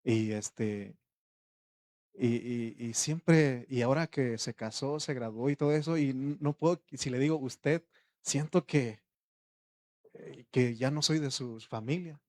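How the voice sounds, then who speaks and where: Conversational preacher, rhetorical questions. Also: male voice, medium pitch, takes it slowly, a little mellow, dry recording. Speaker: pastor. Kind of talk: informal sermon.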